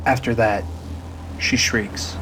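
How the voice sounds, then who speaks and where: A man's voice: on the low side, fairly even intonation, slow, very noisy. A man narrating a scripted audio drama.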